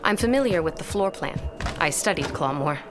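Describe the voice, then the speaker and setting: Poised low-voiced female historian, music behind. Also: animated. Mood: friendly. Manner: measured, literary, polite. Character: poised, curious female historian narrating gothic tale. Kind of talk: scripted audio drama narration and dialogue.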